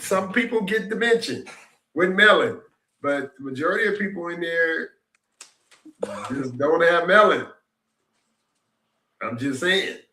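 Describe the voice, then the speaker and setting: A man's voice: on the high side, pushed and bright. A man in his sixties hosting a casual reflective chat, rambling and repeating himself in an upbeat way. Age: 60s. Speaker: host. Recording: echoey room.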